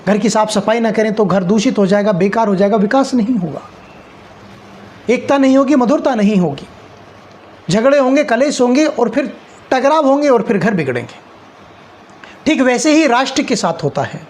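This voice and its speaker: A man with a distinctly high voice speaks directly to his listeners in a patriotic address. He is earnest and exhorting, pausing deliberately and repeating phrases for emphasis. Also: animated, darkish tone.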